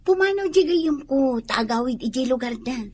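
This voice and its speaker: A young country woman in an Ilocano radio drama, high-voiced and a little husky. She is stubborn and eager, pushing her point with a whiny, insistent edge in an Ilocano accent. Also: animated, moderate pace, dark tone. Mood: hopeful.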